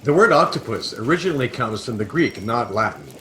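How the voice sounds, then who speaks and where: Man playing lively, bookish interstellar adventurer. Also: mid-range voice, a little fast. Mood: pedantic, earnest. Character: self-important, bookish interstellar adventurer, earnest and unhurried. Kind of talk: sci-fi satire audio drama acting. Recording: noisy home video-call audio.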